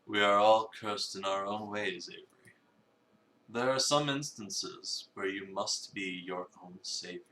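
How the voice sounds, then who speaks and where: A man's voice: fairly low pitch, unhurried, sharp tone. A man playing a grandly theatrical, suave cafe owner in a visual novel reading, with pompous, formal phrasing. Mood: cryptic, amused. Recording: roomy, echoey sound.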